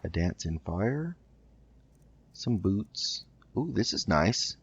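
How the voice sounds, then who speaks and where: A man's voice: deep voice, very animated, slow, slightly rough. A man hosting a casual roleplay let's play, chatting and thinking aloud as he goes. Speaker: gaming video host. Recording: slightly dull mic, game music underneath. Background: faint game music.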